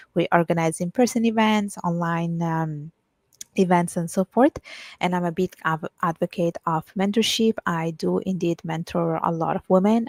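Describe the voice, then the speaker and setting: A woman from Italy, a guest on a remote call, answering in a reflective, measured way. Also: on the low side, creaky, no room sound. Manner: reflective, measured, with small restarts. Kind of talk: conversational interview answers.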